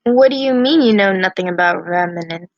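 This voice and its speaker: A woman doing an amateur reading of character dialogue, with a halting delivery that sometimes restarts a line. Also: fairly low pitch, no echo, dull audio. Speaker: reader voicing female characters in a fan visual novel.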